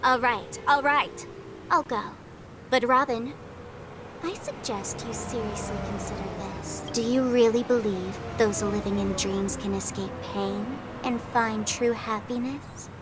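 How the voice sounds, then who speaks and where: A woman's voice: on the high side, unhurried, background noise, natural voice. An actress playing a mischievous, taunting young female trickster in a video game, with a teasing, theatrical delivery. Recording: loud music under the voice. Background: loud game music.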